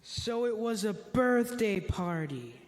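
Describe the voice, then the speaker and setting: A man's voice: high voice, very animated, takes it slowly, heavily acted. A male performer playing an easygoing, goofy, food-loving boy with a casual, eager manner in a church reader's theater. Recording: echoey hall with long reverb.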